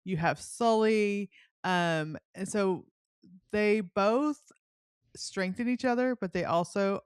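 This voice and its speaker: A woman's voice: mid-range voice, slow pace, clean tone, no echo, studio quality. A woman hosting a podcast, interviewing in a casual, chatty, informal way. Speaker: podcast host.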